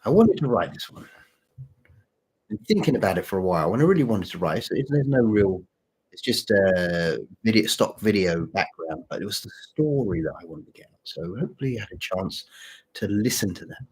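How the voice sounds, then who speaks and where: Male streamer, fairly deep and rough-edged voice with a touch of sore throat, halting, informal, repetitive chat. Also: big pitch swings, a little mellow, rough recording. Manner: halting, repeats phrases. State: mild sore throat, voice deeper than usual. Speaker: channel host and story writer. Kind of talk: casual livestream chat with viewers.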